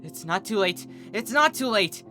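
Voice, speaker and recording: A woman's voice: mid-range voice, animated, normal speed, over music, voice pushed up, natural voice. A woman voicing a fretful young unicorn filly with a pleading, worried way of talking. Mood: panicked. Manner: pleading, breathless worry.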